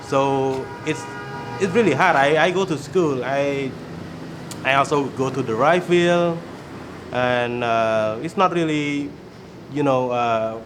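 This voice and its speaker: A man in his thirties with a Khmer accent, speaking haltingly in a documentary interview and searching for words. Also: medium pitch, noisy background. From Cambodia. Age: 30s. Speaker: former student interviewee.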